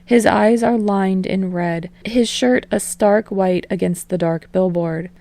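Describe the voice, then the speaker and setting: Creaky woman reading fan fiction aloud. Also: medium pitch, takes it slowly, dry recording. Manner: even, casual narration with swearing. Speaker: podfic reader. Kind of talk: fan-fiction audiobook narration.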